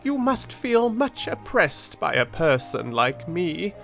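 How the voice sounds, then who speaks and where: A tiny storybook gnat, speaks in a high voice far from a grown man's. It is conceited and fussily polite, delivering its rhymes with great self-importance. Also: sing-song, slow pace, gravelly, a bit bright, faint music behind, heavily acted. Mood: self-important concern. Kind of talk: rhymed storybook fable read aloud.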